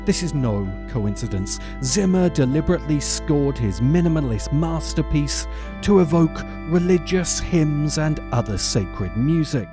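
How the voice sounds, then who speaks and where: A man hosting a video essay, delivering scripted commentary in a dry, deliberate way with dramatic emphasis. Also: fairly high pitch, animated, music in the background. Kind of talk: scripted narration, film commentary.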